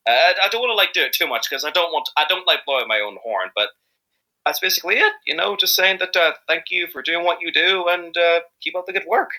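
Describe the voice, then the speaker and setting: A man's voice: a little high, lively intonation, fast talker, bright, forceful tone, low-quality recording. A man with an Irish accent chatting casually as an interview guest, hesitant and rambling but enthusiastic. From Ireland. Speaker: interview guest, amateur fandub voice actor.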